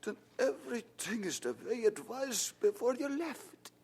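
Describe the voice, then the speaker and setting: An actor playing an anxious young student, a hesitant and questioning friend, in a radio drama. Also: male voice, extremely high pitch, expressive, a little slow, a little mellow, slightly rough, heavily acted.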